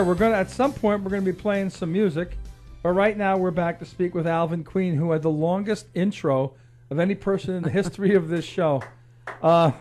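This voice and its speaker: A woman's voice: on the low side, a little mellow. A woman co-hosting a live radio talk show, joking and laughing with her guest.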